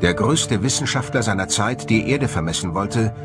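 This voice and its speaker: A man delivers scripted documentary narration with lively, wide-moving intonation. His delivery is measured and explanatory, and music plays clearly underneath his voice. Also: lowish voice.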